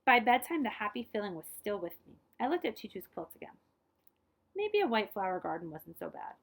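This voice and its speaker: A woman reading a children's picture book aloud in an expressive, dramatized way, dropping to hushed phrase endings and adding short asides. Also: medium pitch, fairly quick, vocal fry.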